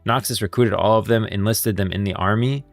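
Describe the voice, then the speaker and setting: Male gaming lore streamer, low voice, casual and rambling, close dry sound over background music. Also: brisk, dry recording. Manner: rambling, thinking aloud. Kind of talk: casual livestream commentary on game lore.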